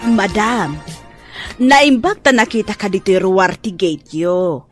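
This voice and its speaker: A woman's voice: medium pitch, lively intonation, measured pace, soft background music, close to an everyday voice. A woman playing a polite but persistent fish vendor with an Ilocano accent in a radio drama. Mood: polite, coaxing.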